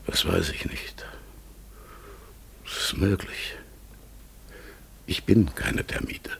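Husky, very low melancholy raven. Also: male voice, animated, fairly bright tone, in a whisper, heavily acted. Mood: wistful, guarded. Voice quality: husky, gravelly, breathy. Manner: halting, pensive, solemn. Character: melancholy talking raven exiled among humans, searching, gentle. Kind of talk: German radio play acting.

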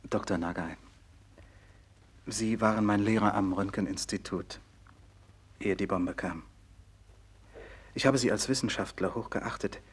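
An actor playing a respectful, concerned physician and loyal former pupil in a 1960s German radio play, speaking formally and deferentially. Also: male voice, fairly low pitch, unhurried, pauses often, breathy edge. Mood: solemn, admiring.

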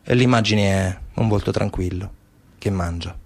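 A man's voice: sits low, moderate pace. A man narrating a theatrical monologue as a stage actor.

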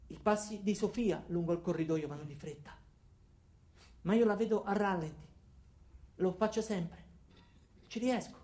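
An actor playing Alfredo, an ordinary weary man confiding his story haltingly in a theatrical radio monologue. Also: sits high, mellow, lightly acted. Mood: reflective, weary. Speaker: monologue narrator. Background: music.

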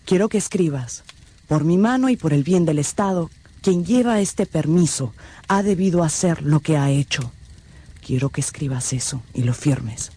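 A scheming villainess in a radio drama. She is haughty and menacing, with a husky voice that swings widely in pitch. Her delivery is theatrical and cold, full of vengeance. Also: lively intonation, normal speed, dark tone, heavily acted. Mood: demanding.

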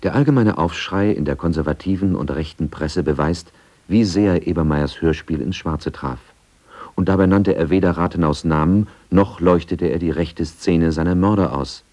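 A man with a low, mellow voice narrates a radio feature. His delivery is scripted, measured and factual.

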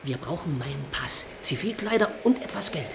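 A man's voice: a little high, lively intonation, normal speed, vocal fry. An actor playing a young male Scottish soldier on the run, who talks urgently and pleads in a radio play. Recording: noisy old radio recording.

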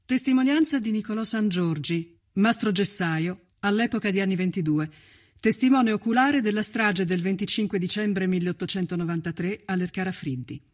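A woman's voice: mid-range voice, moderate pace. Woman, documentary narrator, formal announcing, scripted reading.

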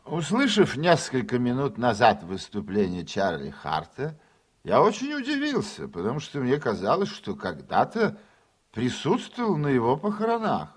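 An actor playing a brash senator who speaks with sarcastic oratory in a radio play. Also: male voice, mid-range voice, wildly swooping pitch, moderate pace, slightly rough. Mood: sarcastic, mocking. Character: brash, mocking male senator giving a broadcast speech. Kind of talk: theatrical radio-play political speech.

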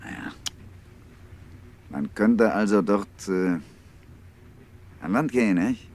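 An actor playing a calculating, obedient ship's officer in a 1950s radio drama, speaking in measured, insinuating hints. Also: male voice, on the low side, a little mellow. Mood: coolly scheming. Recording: noisy 1950s radio recording.

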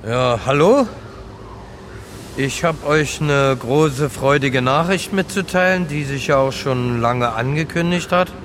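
An actor playing a simple, sincere down-and-out man making a plain-spoken announcement in a radio play. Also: on the high side. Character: simple, sincere male down-and-out newly joined gang. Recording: noisy outdoor street with cars. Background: street and car noise.

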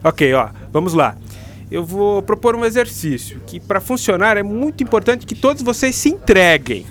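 A man's voice: sits high, very animated, moderate pace, fairly bright tone, faint music behind. A man playing a patient, engaging literature teacher in a Brazilian radio drama, coaxing and explaining as he goes. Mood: firm, earnest. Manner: didactic, coaxing. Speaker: actor.

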